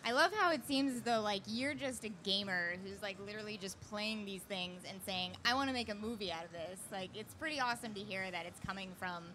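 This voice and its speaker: A woman's voice: mid-range voice, brisk, hardly any pauses, bright, forceful tone. An enthusiastic woman interviewer chatting casually and gushing to her guest. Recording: noisy convention event background.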